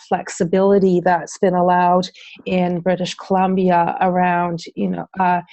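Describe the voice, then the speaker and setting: A woman's voice: fairly low pitch, warm tone, no room sound. A woman presenter answering audience questions in a webinar, speaking with many ums, uhs and restarts.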